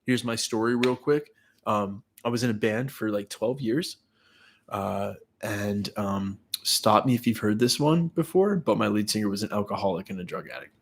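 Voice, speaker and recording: A man's voice: lowish voice, normal speed. A man in his thirties with a stuffy, congested voice from a cold, hosting a casual live stream and answering viewer comments. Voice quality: nasal, stuffy. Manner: loose and chatty, reads viewer comments aloud and answers them. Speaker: YouTuber hosting a live watch party.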